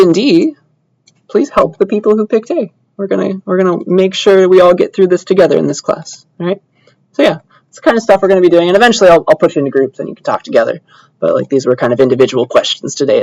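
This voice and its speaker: A man's voice: high-pitched, darkish tone, no echo, rough recording. Casual male lecturer, dry close sound. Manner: casual, conversational, checks in with tags like "all right" and "yeah". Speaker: computer science lecturer. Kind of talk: informal introductory lecture to a class. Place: classroom.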